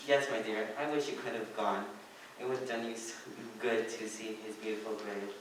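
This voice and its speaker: A man's voice: lowish voice, fairly even intonation, moderate pace, natural voice. A teenage boy playing plain working men in a student radio play. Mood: sad, tender. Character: plain working men: rag dealer, grieving father. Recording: echoey room, far mic, faint noise.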